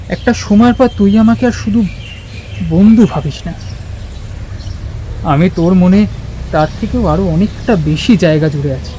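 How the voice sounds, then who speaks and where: An earnest, high-voiced young man. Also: warm tone, a little hiss or hum, poor audio. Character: gentle, earnest young man, careful and apologetic. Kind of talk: audio drama acting. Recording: music under the voice.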